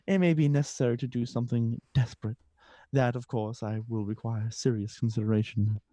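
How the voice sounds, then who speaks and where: A man's voice: medium pitch, fairly even intonation, brisk, dark tone, dry recording, natural voice. A man reading an affected, self-possessed young upper-class lady in love, with formal, gushing theatrical delivery, in a stage comedy. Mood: affected, romantic.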